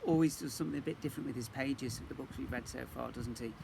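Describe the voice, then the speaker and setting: A man reading a picture book expressively as a teacher for young children, pausing to ask listeners questions. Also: mid-range voice, vocal fry, noisy background. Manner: questioning, engaging asides to listeners.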